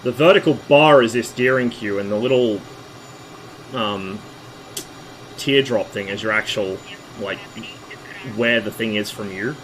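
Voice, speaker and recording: Hoarse low-voiced man streaming a flight sim. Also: measured pace, pauses often, a bit bright, a little hiss or hum, rough recording. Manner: explaining, easygoing, hesitant fillers. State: losing his voice after a flu. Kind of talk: casual flight-sim commentary.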